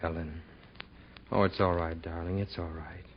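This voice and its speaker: An actor playing an easygoing, affectionate novelist husband in 1940s radio drama style. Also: male voice, low voice, flat delivery, moderate pace, loud music behind the voice, natural voice. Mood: soothing. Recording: dull, noisy 1940s radio recording.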